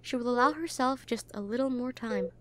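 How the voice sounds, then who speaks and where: A woman reading a literary novel aloud with expressive phrasing, as a voice actor. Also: on the high side, brisk.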